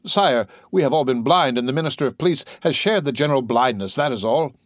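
A man voicing a loyal courtier duke who tries to calm the king. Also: medium pitch, fast, no echo, studio quality, natural voice. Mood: placating.

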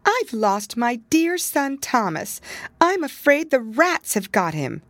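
A woman's voice: medium pitch, big pitch swings, unhurried, no room sound, pitched above the performer's own voice. A woman voicing an anxious, tearful mother cat who mews and frets over her lost kittens. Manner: mewing, tearful, fretful.

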